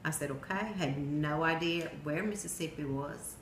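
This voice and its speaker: Woman, Swedish accent, middle-aged, low voice, plain storytelling, echoey room, faint background noise. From Sweden. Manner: plain storytelling with pauses and non-native grammar. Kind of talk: personal narrative speech.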